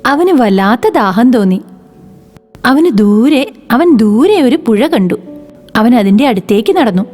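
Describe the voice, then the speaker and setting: A woman's voice: fairly high pitch, lively intonation, measured pace, a little mellow, soft background music. A woman narrating a scripted children's moral story.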